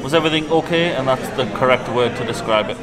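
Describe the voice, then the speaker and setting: A man's voice: medium pitch, fast. A man vlogging casually, chatting about his trip as he goes. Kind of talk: casual travel vlog chat. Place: inside a busy restaurant. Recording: loud music, busy restaurant chatter. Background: music and crowd chatter.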